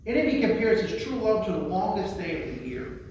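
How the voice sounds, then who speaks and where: A man's voice: high voice, sing-song, a little fast, darkish tone. A man giving a spoken literary presentation as a librarian, with a theatrical, declamatory delivery. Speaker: librarian presenting a poetry reading. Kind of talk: spoken presentation and recitation of poems. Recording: very echoey room, distant mic, faint noise.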